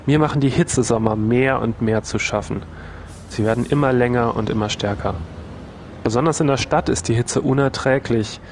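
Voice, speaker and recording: Actor as a 31-year-old hospital worker, earnest, faint traffic behind. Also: male voice, mid-range voice, normal speed, a little mellow, lightly acted. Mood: plain. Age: 30s. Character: man of 31 working at a hospital. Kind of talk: scripted first-person testimony.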